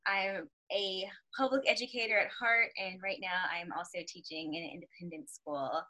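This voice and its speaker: A woman's voice: medium pitch, fairly even intonation, normal speed, bright, forceful tone, low-quality recording. A woman educator, a podcast guest, introducing herself in a confident, emphatic way. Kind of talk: casual podcast chat.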